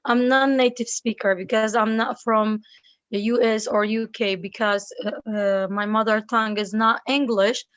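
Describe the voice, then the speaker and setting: Earnest Kurdish-accented English teacher. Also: female voice, on the high side, no room sound, muffled recording. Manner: earnest, repeats words while searching. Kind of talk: casual video call interview. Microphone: video call.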